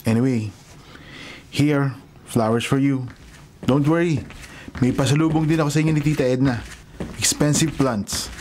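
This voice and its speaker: A man playing a smooth, flattering young rich suitor in a Filipino radio drama, with a Filipino accent and a suave manner. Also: mid-range voice, normal speed, long pauses, slight background noise, lightly acted. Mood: charming. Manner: suave, Taglish.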